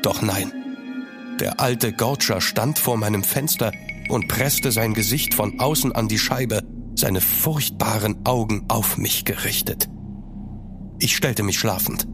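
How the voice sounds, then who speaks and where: A man playing an uneasy gentleman traveller, narrating a horror audio drama in a measured, suspenseful way in German. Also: on the low side, over music.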